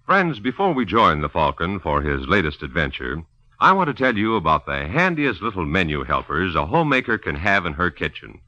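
A man's voice: low-pitched, sing-song, brisk, pushed and bright, slight background noise. A man announcing and narrating in polished 1950s radio style, as salesman and storyteller. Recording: muffled 1950s radio recording.

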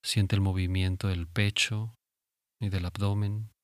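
A man leading a guided relaxation meditation in a calm, soothing, instructive way with long pauses. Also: low voice, dry recording. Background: music.

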